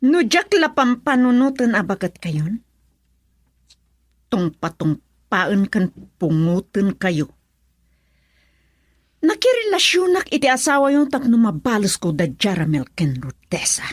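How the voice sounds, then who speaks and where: An actress with a Filipino accent playing a scheming, mocking mistress in a radio drama. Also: female voice, medium pitch, very animated, no echo. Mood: mocking, defiant. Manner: taunting, drawn-out, swinging delivery.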